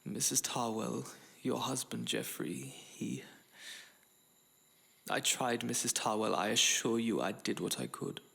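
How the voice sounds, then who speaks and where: An actor playing a regretful frontier town doctor in a western audio drama, formal, solemn and halting. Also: male voice, mid-range voice, creaky, pushed and bright, exaggerated voice. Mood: grave, apologetic.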